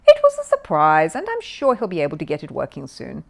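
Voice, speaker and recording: A woman's voice: low-pitched, wildly swooping pitch, brisk, gravelly, pitched below the performer's own voice, heavily acted. Soothing, practical storybook mother, read by a woman. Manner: soothing, gently managing. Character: mild, practical, soothing middle-aged mother.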